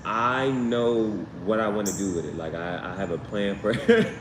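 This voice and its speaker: A man hosting a casual live stream as a tarot reader, rambling with slangy asides and repeating himself as he goes. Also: moderate pace. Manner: repetitive, tangential, slangy asides. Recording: roomy, distant mic, noisy background with vehicle rumble.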